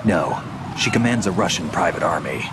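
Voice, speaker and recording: Low-voiced, cool-headed seasoned soldier. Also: male voice, animated, moderate pace, background noise. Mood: briefing, wary. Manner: terse, matter-of-fact, world-wise. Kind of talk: dramatic video game voice acting.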